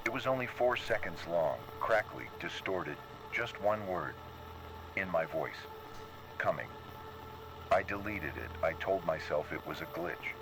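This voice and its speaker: A man's voice: low voice, lively intonation, a little slow. A man narrating a scripted first-person horror story. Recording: clear background noise.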